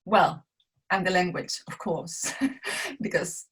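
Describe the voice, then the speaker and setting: Slow-speaking woman. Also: lowish voice, big pitch swings, a bit bright, breathy edge. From Chile. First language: Spanish. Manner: halting, searching for words in a second language. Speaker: guest. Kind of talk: casual interview chat.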